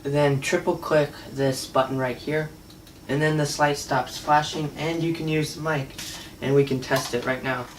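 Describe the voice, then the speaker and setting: A man's voice: fairly high pitch, flat delivery, normal speed, slight background noise. A man giving a casual, unscripted product demo in a hesitant, conversational way. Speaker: product reviewer. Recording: distant mic, faint music at times.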